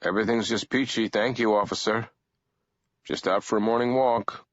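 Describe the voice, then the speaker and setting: A man voicing a calm, weary, patient male protagonist in a storybook, speaking gently and laconically in a low, husky voice. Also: moderate pace, highs cut off, natural voice. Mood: calm, guarded.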